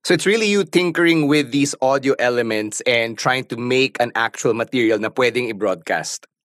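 A man's voice: mid-range voice, normal speed, a bit bright, no echo, studio quality. A Filipino man hosting a casual interview, asking conversational questions that switch between English and Tagalog. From Philippines. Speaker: podcast host, interviewer.

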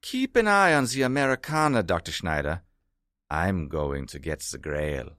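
An actor playing a pompous, sarcastic German military villain with a theatrical German accent in a comedy. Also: male voice, low voice, animated, moderate pace, fairly bright tone, no room sound, studio quality. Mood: haughty, commanding. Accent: mock German. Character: pompous, impatient German military villain, childishly vain, secretly soft.

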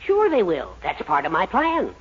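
Husky, scheming older American man. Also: sits high, wildly swooping pitch, normal speed, heavily acted. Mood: confident plotting. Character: scheming older man, confident conniving mentor. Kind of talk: 1940s radio comedy acting. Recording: muffled 1940s radio recording.

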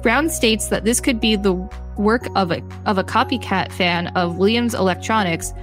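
A woman reads scripted article text as a podcast co-host. Her voice sits at a middle pitch, with faint music playing underneath. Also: moderate pace.

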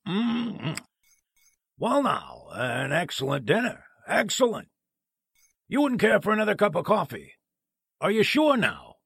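An actor playing a sleazy, fussily polite gossip columnist who talks haltingly, in old-time radio drama style. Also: male voice, high voice, huge pitch swings, creaky, fairly bright tone, husky, no echo, clean studio sound, full character voice. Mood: oily, courteous. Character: sleazy gossip columnist, oily man, nervously pleading.

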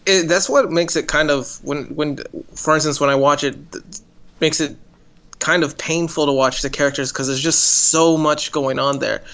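Male co-host, higher register, hesitant casual film discussion. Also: fairly even intonation, normal speed, a bit bright. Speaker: podcast co-host.

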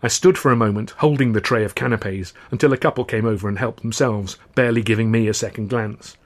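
A man's voice: fairly low pitch, quick pace. A man reading his own novel as a wry first-person audiobook narration.

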